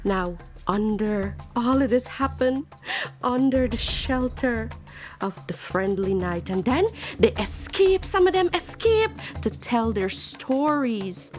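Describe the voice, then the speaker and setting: A woman with a Guyanese accent hosting a children's storytime, speaking in a theatrical, exclamatory way with drawn-out emphasis. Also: a little high, animated, darkish tone, faint music behind.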